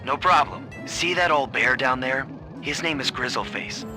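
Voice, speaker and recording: Laid-back animal thief hero, male, average pitch, confident wry video game delivery over music. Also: normal speed, bright, forceful tone. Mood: cool, confident. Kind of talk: video game cutscene voice acting.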